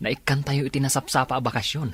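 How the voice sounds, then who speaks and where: A man's voice: medium pitch, a bit bright, slightly rough, no room sound. An actor with a Filipino accent playing a chummy, teasing young delivery man in a radio drama, bantering and exclamatory.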